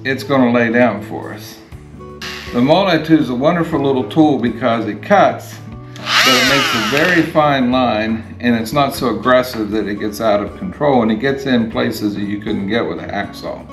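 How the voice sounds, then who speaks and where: A man in his sixties, a DIY host giving a plain, unhurried step-by-step demonstration while working at his home garage workbench, picked up by a camera above the bench. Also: mid-range voice. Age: 60s. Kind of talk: hands-on how-to demonstration. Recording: echoey garage with guitar music. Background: guitar music.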